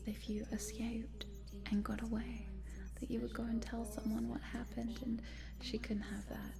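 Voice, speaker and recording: A woman playing a cute but sinister scientist in an ASMR roleplay, coaxing in a soft, breathy whisper. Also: fairly high pitch, level tone, normal speed, music in the background. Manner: hushed, coaxing, murmuring, giggly asides. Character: cute sinister lady scientist, chatty, coaxing, quietly gleeful.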